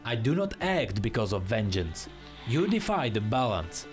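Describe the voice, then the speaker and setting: A man voicing a stern, disciplined male ninja in a fantasy story, grave and deliberate. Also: lowish voice, very animated, faint music behind.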